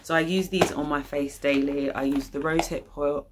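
Casting: young British woman with a low-pitched voice, informal and slangy, talking to camera like a casual vlogger. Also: steady tone, normal speed, background noise. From United Kingdom. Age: young adult. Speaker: YouTube channel host. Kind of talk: casual vlog chat. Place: living room, indoors.

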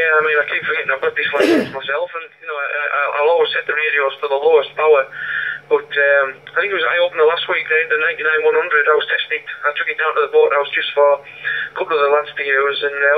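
A man heard over two-way digital radio, chatting casually in a rambling, hesitant way. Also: fairly high pitch. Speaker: amateur radio operator. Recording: tinny band-limited radio transmission through speaker.

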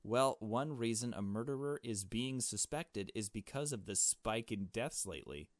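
Man reading as a confident, scheming rich girl, low-voiced. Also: expressive, normal speed, dry recording, studio quality, close to an everyday voice. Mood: matter-of-fact.

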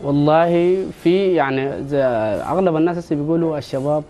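A young man in his twenties with a Sudanese Arabic accent is a studio guest, talking into a lapel mic. His voice is slightly high but mellow, and he talks quickly in an earnest, halting way. Faint noise sits behind him. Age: 20s. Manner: earnest, halting, with filler restarts. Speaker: football fan guest, medical student. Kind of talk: studio interview.

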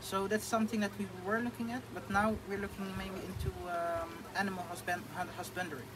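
Dutch-accented young man, halting speech. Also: sits high, flat delivery, noisy background. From Netherlands. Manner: halting, many fillers and restarts. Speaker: guest. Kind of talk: casual interview. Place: outdoor thatched shelter.

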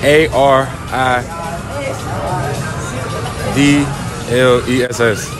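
A young man at an amusement park at night, slowly spelling a name out loud and getting confused. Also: medium pitch, flat delivery. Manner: slow, careful spelling, gets confused. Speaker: passer-by. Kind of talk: casual street interview. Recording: music and crowd noise behind.

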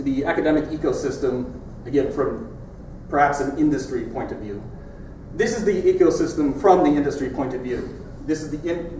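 A man's voice: on the high side, a little mellow, slight background noise. A middle-aged man giving a conference keynote in a large lecture hall, in a conversational, wry way as he points at his slides. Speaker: keynote speaker. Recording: echoey hall from far off.

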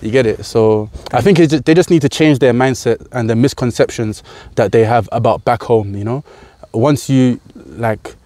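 A man's voice: mid-range voice, moderate pace, no room sound. A young man with a London accent, talking casually and with animation as a farmer being interviewed outdoors in a field. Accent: London English. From United Kingdom. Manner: animated, gestures while talking, repeats words.

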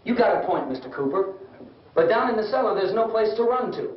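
A man's voice: high-pitched, animated, brisk. An actor playing an earnest, reasonable young American man in an old horror film, a polite, pleading peacemaker. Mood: reasoning, worried. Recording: echoey, dull old film soundtrack, distant.

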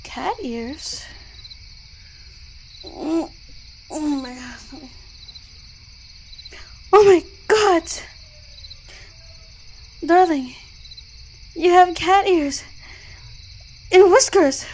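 A woman's voice: high-pitched, slow pace, long pauses, darkish tone, slight background noise. A woman voicing a playful, doting goddess sorceress in an ASMR roleplay, cooing and teasing affectionately. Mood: shocked.

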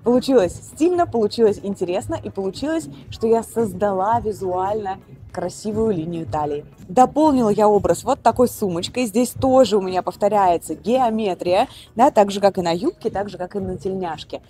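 A woman's voice: medium pitch, lively intonation, moderate pace, mellow, faint music behind. A woman stylist explaining an outfit and giving practical fashion advice to viewers. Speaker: stylist presenting her outfit.

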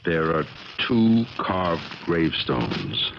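A man's voice: rumbling low, sing-song, slow, creaky, full character voice. An actor playing a resolute, suspicious, protective man with a blunt, grave manner in a radio drama. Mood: grim, firm. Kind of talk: 1970s radio drama acting. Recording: dull crackly 1970s radio recording.

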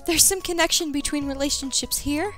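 A woman's voice: sits high, brisk, a bit bright, faint music behind. A woman voicing a cheeky young female ally in an anime-style game dub, playfully sarcastic. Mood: teasing, encouraging.

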